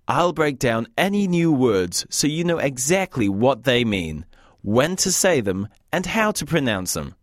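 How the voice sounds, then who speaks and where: A man's voice: medium pitch, dry recording, clean studio sound. Wry British man hosting a lesson. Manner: wry, joking teacher. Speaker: language course host. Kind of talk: scripted lesson narration.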